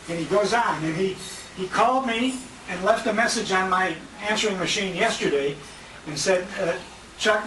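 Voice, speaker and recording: An older American man hosting a dinner as emcee, giving a live tribute speech in a restaurant banquet room. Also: high-pitched. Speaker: emcee and radio show host. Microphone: distant handheld compact camera. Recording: echoey, noisy handheld camera from far off.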